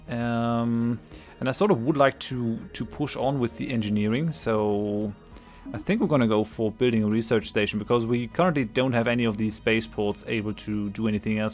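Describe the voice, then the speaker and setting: A man with a cold narrating his strategy game as a let's play host, thinking aloud with many ums and restarts and laughing readily. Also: medium pitch. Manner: laughs readily between remarks. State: has a cold. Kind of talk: casual gameplay commentary.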